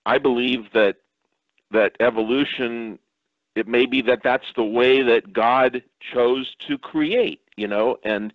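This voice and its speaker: A man in a casual discussion, speaking in a measured, reflective way and hedging his views. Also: medium pitch, no echo, phone-quality sound. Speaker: panel guest.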